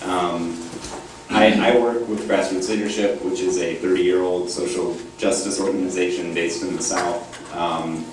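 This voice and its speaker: A man with a cold, his voice a little gravelly, giving a conference panel talk with many hesitant ums. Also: deep voice, little pitch movement, a little slow. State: has a cold, slightly gravelly. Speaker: panelist from an advocacy group. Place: university conference room. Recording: echoey hall, distant mic, noisy.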